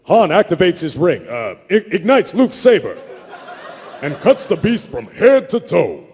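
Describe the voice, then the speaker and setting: Lively male narrator in a big hall. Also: sits high, a little slow. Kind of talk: dramatic scripted narration, live reading. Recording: reverberant convention hall with background noise.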